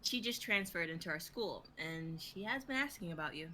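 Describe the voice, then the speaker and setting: Young woman reading lines, bright forceful voice, kinda low, noisy background. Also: lively intonation, normal speed. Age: young adult. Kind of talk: dramatic script reading, casual dialogue. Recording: noticeable background noise, online stream.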